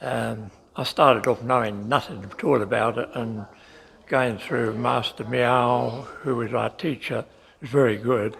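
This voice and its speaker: A man in his eighties answering interview questions slowly, with pauses and fillers. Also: mid-range voice, steady tone, rough recording. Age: 80s. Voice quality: gravelly. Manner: slow, halting, many fillers. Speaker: interviewee, tai chi student.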